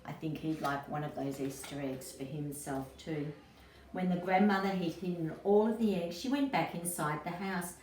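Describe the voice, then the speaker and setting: A woman with a fairly low voice reads a picture book to young children as an early years educator. Her delivery is expressive and addresses the listeners directly. The sound is roomy and distant, with faint noise behind it. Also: moderate pace. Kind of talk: children's storybook read-aloud.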